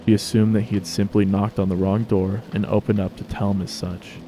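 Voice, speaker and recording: A man's voice: fairly low pitch, little pitch movement, brisk, mellow. A man narrating a story as a scripted dramatic reading.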